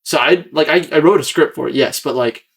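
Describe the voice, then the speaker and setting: A man's voice: on the high side, a little fast, gravelly. Rambling young American man chatting on a podcast. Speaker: podcast host and video creator. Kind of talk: casual podcast chat.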